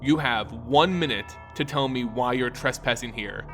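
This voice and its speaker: A man's voice: medium pitch, normal speed, a bit bright, voice pushed down, lightly acted. Brooding ancient male vampire lord, acted by a male narrator, growling and grave, fantasy audiobook, music underneath. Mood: threatening. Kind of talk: dramatic fantasy audiobook character voice.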